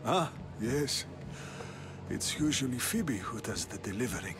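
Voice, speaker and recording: A man plays a frail old statesman in a game cutscene, talking very slowly and wearily. A game score sits under his voice. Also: mid-range voice, animated, over music, lightly acted. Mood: weary. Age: older. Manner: slow, weary. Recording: game score playing behind.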